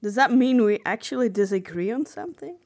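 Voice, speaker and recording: A woman's voice: on the high side, animated, brisk, darkish tone. A woman talking casually as a podcast co-host in a conversational discussion.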